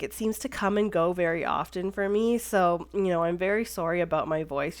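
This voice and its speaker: Stuffy-sounding woman chatting through a card-making tutorial, lots of ums and little corrections. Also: lowish voice. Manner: chatty, with frequent "um" and self-corrections. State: stuffy, congested voice. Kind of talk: casual voice-over tutorial narration.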